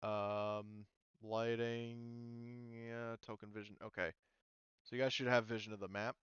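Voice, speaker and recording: A man acting as game master, casually refereeing a tabletop game and thinking aloud in a halting way. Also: medium pitch, level tone, fairly bright tone, clean tone, dry recording, lo-fi sound.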